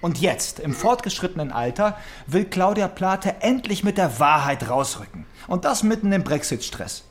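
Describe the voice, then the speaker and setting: A man's voice: sits high, big pitch swings, moderate pace. Sardonic male police detective. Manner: ironic, needling. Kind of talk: German radio crime drama acting.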